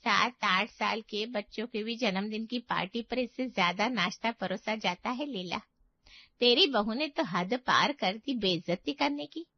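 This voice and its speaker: Woman playing an offended older aunt, highish voice. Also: unhurried, highs cut off. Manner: indignant, cutting. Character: older female relative guest, offended and reproachful.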